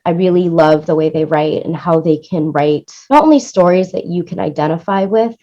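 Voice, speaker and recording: A woman's voice: lowish voice, normal speed, mellow. A woman giving conversational interview answers as a guest, explaining things and often checking in with "right?" or "you know". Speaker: interview guest, music supervisor and producer. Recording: dull, lo-fi audio.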